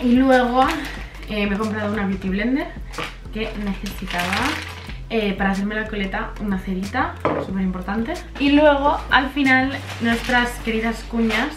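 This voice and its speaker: A woman's voice: on the high side, fairly even intonation, moderate pace, over music. A young woman in her twenties from Spain, chatting casually to her handheld camera in a vlog, upbeat and full of fillers, a little stuffy from allergies. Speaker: vlogger. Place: hotel room and outdoors in a city. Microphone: handheld camera at arm's length.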